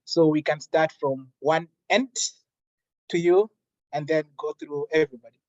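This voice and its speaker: A young man from Malawi opens a classroom workshop in a relaxed, chatty way. His voice sits fairly high for a man. The recording is dry and a little muffled. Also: normal speed, no room sound. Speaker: workshop facilitator. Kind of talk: friendly classroom introduction.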